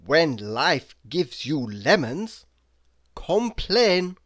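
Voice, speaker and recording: A person reading mock-inspirational quotes aloud in a deliberate, dramatic manner, pausing between phrases. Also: mid-range voice, very animated, fairly bright tone. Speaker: reader of quotes.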